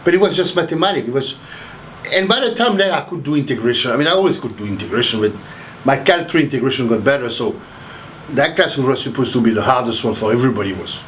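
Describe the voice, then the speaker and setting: A man's voice: medium pitch, quick pace, a little hiss or hum, rough recording. Rambling older man from Haiti. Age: 60s. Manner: rambling, restarts, animated. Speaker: interviewee, math professor. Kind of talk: casual interview.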